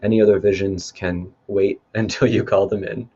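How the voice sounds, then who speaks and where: A man leading a guided meditation in a calm, unhurried, instructive way. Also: lowish voice, husky, highs cut off. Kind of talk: guided meditation, spoken softly to listeners.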